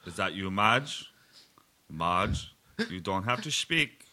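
A man playing a calm hypnotist doctor in a dark comedy sketch, coaxing slowly and formally. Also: low-pitched, heavily acted. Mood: soothing. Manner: slow, soothing, formal coaxing.

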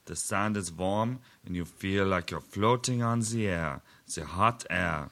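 Man as a coaxing, creaky-voiced hypnotist doctor. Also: low-pitched, a bit bright. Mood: soothing. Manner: slow, soothing, formal coaxing. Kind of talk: dark comedy sketch acting.

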